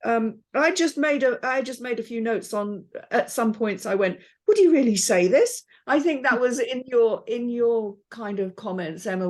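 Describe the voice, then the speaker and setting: A woman's voice: on the high side, a little mellow. A woman discussing a script informally in a group, questioning and thinking aloud in a tentative way. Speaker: script panel reader.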